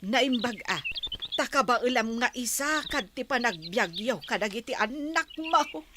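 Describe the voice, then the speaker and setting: A woman's voice: a little high, lively intonation, a little slow, noisy background. A woman playing an older, nosy but sympathetic neighbour in a Philippine radio drama. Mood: concerned, chatty.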